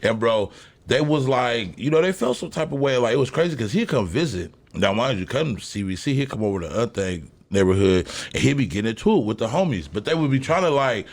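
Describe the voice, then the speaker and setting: A relaxed man from Portland spins long, rambling stories on a podcast. His pitch sits in the ordinary middle range for a man. He drifts through heavy fillers and casual swearing. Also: a little fast. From Portland, Oregon. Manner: laid-back, rambling, heavy filler "like" and profanity. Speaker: podcast guest. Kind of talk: casual storytelling chat.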